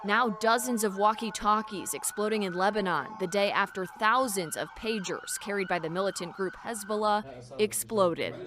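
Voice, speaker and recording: A woman's voice: medium pitch, moderate pace, noisy background. A woman reporter with an American accent reading a scripted news narration.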